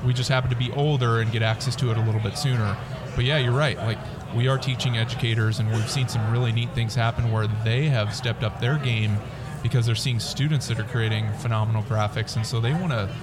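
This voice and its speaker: A man's voice: mid-range voice, fairly even intonation, fast, hardly any pauses, loud music behind the voice. A man being interviewed as a company representative, explaining his product in a conversational way. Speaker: interview guest, company representative pitching school software.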